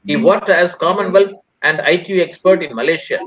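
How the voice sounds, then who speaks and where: Indian-accented man, formal event host, halting scripted delivery, raised pitch, bright forceful tone, muffled lo-fi sound. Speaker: webinar host introducing a guest speaker. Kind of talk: formal scripted welcome address.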